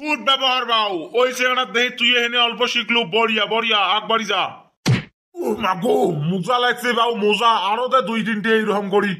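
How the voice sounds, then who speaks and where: A man voicing a scolding, excitable cartoon beggar, loud and exclaiming, with a northern Bengali accent. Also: extremely high pitch, a little fast, exaggerated voice. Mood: gleefully approving. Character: gruff, scolding upside-down-land beggar man, easily offended. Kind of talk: comic cartoon dialogue.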